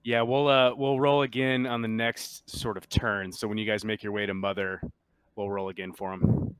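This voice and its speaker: A man acts as game master for a tabletop roleplaying session. His voice sits on the low side and is smooth and clear, in a dry recording. He talks casually, with some hesitation as he works through rules and scenes. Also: little pitch movement, normal speed, no echo.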